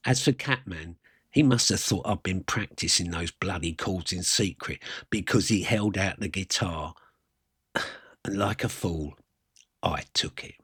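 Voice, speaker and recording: Male narrator, deep and husky, self-deprecating raconteur reminiscing in character. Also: measured pace, fairly bright tone, no echo, clean studio sound. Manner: wry, colloquial, self-deprecating. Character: wry working-class South London man reminiscing about his youth. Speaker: storyteller. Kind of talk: dramatic first-person storytelling monologue.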